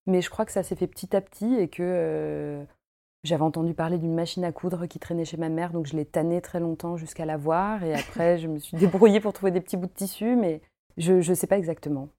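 Chatty thirtysomething woman with a mellow voice. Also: lowish voice, steady tone, a little fast. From Paris, France. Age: 30s. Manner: chatty, many fillers, laughs easily. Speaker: guest, costume maker and embroiderer. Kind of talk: casual interview chat. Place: her home.

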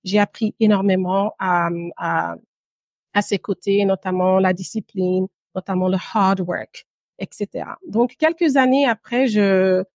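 A woman's voice: mid-range voice, darkish tone, dry recording. Woman in her thirties, dry close sound. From Guinea and Brussels, Belgium. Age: 30s. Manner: measured, pausing, with occasional English words. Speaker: podcast guest, entrepreneur. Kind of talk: personal interview storytelling.

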